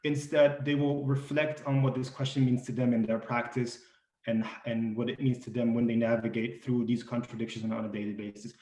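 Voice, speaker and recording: A man's voice: medium pitch, level tone, fairly quick, dark tone. A man moderating a panel discussion, introducing guests with frequent ums and restarts. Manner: hesitant, many ums and restarts. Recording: echoey room.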